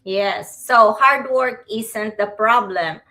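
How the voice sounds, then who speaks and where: A woman from the Philippines co-hosting a live stream, speaking with many pauses and fillers. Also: medium pitch, sharp tone. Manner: hesitant, many fillers and restarts. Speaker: co-host. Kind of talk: casual live-stream chat.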